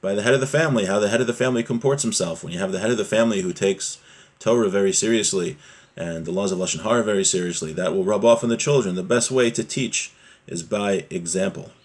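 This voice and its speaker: A man with a low, husky voice teaches a religious class. He explains a sacred text phrase by phrase in an earnest, didactic manner, often punctuating his points with short rhetorical questions. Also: fast. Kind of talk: religious lesson, explaining a Hebrew text line by line in English.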